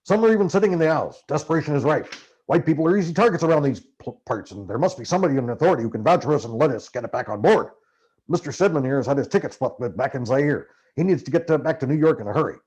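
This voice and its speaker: A man acts out a profane American journalist in an audiobook, ranting and paranoid. His husky voice leaps about as he fires off emphatic, urgent commands. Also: a little high, lively intonation, rapid-fire, darkish tone, low-quality recording. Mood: indignant, insistent. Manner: urgent, barking, emphatic orders. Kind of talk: dramatized audiobook dialogue.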